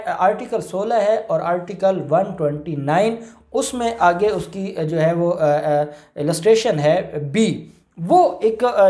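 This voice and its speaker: A man with a Pakistani accent giving an informal legal explanation, halting and rephrasing his points as he goes. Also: sits high, darkish tone. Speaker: lawyer explaining law. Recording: roomy, echoing indoor sound.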